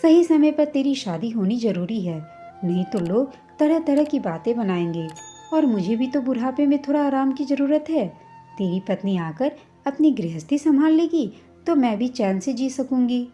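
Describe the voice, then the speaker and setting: Woman playing a meek, mellow-voiced dutiful wife and mother. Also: high voice, expressive, normal speed, dull audio, faint music behind. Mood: gently urging. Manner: gentle, pleading, dutiful. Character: meek, long-suffering daughter-in-law, later a cautious widowed mother.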